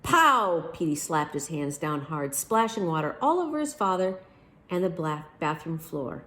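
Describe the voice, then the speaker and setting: A woman storyteller with a slightly low voice reads a bedtime story to children. Her delivery is expressive, and she acts out the lines of the characters. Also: moderate pace. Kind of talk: children's picture book read aloud.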